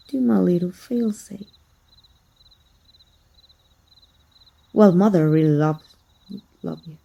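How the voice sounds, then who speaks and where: A woman from Mexico playing a sly, taunting, manipulative female vampire hunter and estranged sister in an ASMR roleplay, speaking with deliberate, mock-sweet menace. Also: lowish voice, gravelly, warm tone, slightly rough, exaggerated voice. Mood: cold scheming.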